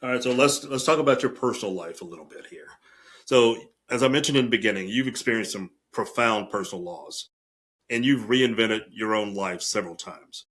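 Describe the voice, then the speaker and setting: A man's voice: lowish voice, fairly quick, fairly bright tone. A man hosting a podcast interview in a conversational style.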